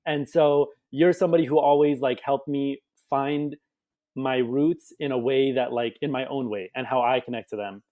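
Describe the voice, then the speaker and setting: A young man from the United States, a guest on a podcast, talking casually with lots of "like" and letting his sentences trail off softly. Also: mid-range voice, steady tone, normal speed, phone-quality sound. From USA. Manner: casual, many "like" fillers, soft trailing delivery. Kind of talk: casual conversation.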